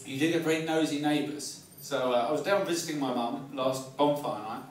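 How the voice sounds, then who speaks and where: Southern english bloke doing standup, highish voice, self-deprecating, repeats himself, mic sounds far off. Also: male voice, brisk. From Kent, England. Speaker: stand-up comedian. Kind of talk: live stand-up comedy. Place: live comedy night in a pub venue. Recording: echoey club, distant mic, audience noise. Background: audience laughter.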